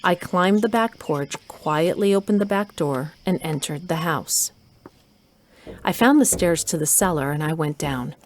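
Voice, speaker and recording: Lowish-voiced resolute storytelling mother. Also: animated, measured pace, slight background noise. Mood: measured suspense. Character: resolute, stealthy mother and doctor narrating her rescue. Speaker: narrator and lead actor. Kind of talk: scripted audio drama narration.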